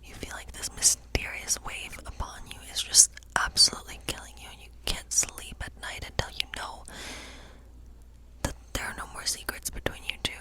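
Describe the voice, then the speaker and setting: A woman whispering an ASMR tarot reading as a gentle witch, musing aloud in an unhurried way. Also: low-quality recording. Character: gentle fortune-telling witch.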